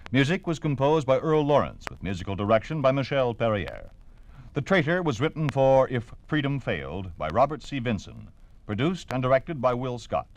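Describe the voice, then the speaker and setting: A man's voice: medium pitch, sing-song, normal speed. An American man announcing in a scripted 1950s radio style, solemn and declamatory. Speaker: announcer, narrator. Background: music.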